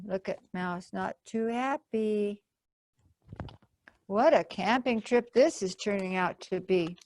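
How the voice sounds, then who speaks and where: A woman's voice: mid-range voice, slow pace, darkish tone. A woman hosting a library storytime, telling a story to children and adding simple explanatory asides. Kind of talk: children's read-aloud storytelling.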